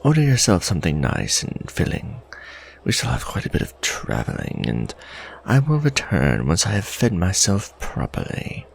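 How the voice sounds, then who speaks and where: A man voicing an imperious, courtly vampire lord with formal, measured diction in a gothic roleplay, menacing yet doting. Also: low voice, huge pitch swings, creaky, slight background noise, pitched above the performer's own voice, full character voice. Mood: imperious, ambitious. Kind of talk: romantic gothic ASMR roleplay acting.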